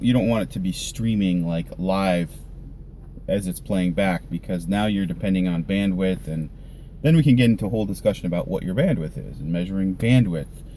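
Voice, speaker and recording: A man giving a casual, unscripted vlog explanation in a halting, conversational way, thinking aloud as he goes. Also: on the low side, dark tone, a little hiss or hum. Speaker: volunteer church live sound tech, vlogger.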